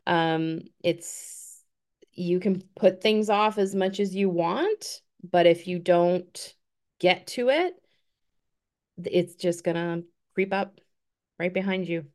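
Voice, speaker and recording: A woman's voice: on the low side, slow pace, a little mellow. A woman in her fifties giving an earnest, encouraging motivational talk on a group call. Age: 50s. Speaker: guest speaker.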